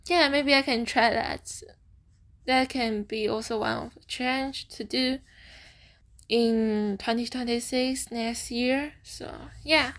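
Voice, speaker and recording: Slow, halting young woman, Chinese native. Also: high-pitched, a bit bright. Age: young adult. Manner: hesitant, halting non-native English, frequent "okay?" tags; slow, thoughtful, giggles often. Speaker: student vlogger and podcaster. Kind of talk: casual chat to camera.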